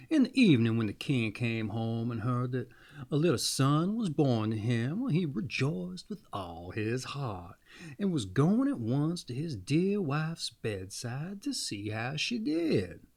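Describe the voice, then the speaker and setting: Male fairy-tale narrator: dramatic, widely varied intonation, unhurried pace, mid-pitched. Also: gravelly. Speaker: storyteller.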